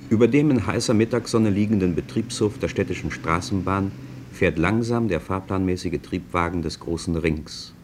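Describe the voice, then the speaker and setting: A man as a radio-play narrator with a deep voice. He reports in a measured, matter-of-fact way over faint noise. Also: darkish tone, close to an everyday voice.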